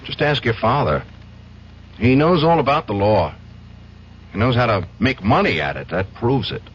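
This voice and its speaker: A man playing a troubled, guilt-ridden lawyer in a radio drama, speaking in a weary, halting, brooding way. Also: medium pitch, sing-song, slight background noise, lightly acted. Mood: bitter, resigned. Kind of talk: 1970s radio drama acting. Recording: dull old radio broadcast, faint music.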